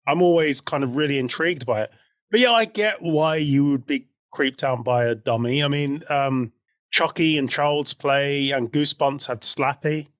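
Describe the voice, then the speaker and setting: A man's voice: a little high, normal speed, a bit bright, no echo, clean studio sound. A man talking casually as a podcast co-host about a TV show.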